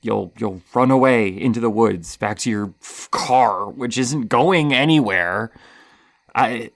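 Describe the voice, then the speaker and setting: Man voicing insecure masked killer, horror ASMR roleplay, slow stammering delivery, close dry sound. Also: medium pitch, no room sound. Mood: bitter, wary. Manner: stammering, halting, breathy sighs and nervous laughs. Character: flustered, scarred, masked male slasher killer, lonely and insecure. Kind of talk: ASMR horror roleplay, intimate monologue to listener.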